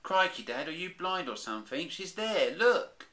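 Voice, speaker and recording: A man doing an excitable boy bear. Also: a little high, moderate pace, pitched above the performer's own voice. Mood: excited. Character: young boy bear, eager and cheeky.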